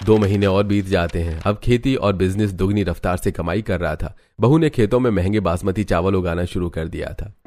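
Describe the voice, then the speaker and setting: Guy narrating a cartoon story, kinda low voice, bit of music behind. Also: brisk, hardly any pauses, a little mellow.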